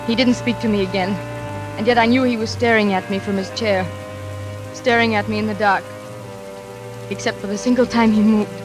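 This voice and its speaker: An actress playing a frightened, dutiful young daughter who narrates a 1940s radio thriller in a tense, earnest, measured way. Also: female voice, a little high, natural voice. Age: young adult.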